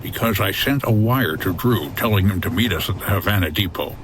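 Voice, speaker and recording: An actor playing a gruff, no-nonsense hotel owner turned sleuth in a comedy noir audio drama, terse and exasperated. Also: male voice, sits low, expressive, brisk, fairly bright tone, a little hiss or hum.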